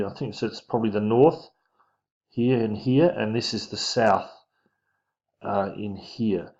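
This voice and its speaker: A man giving an informal physics lesson, talking through diagrams in a casual, halting way as he thinks aloud. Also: on the low side, a little mellow. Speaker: physics teacher. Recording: dull lo-fi microphone sound.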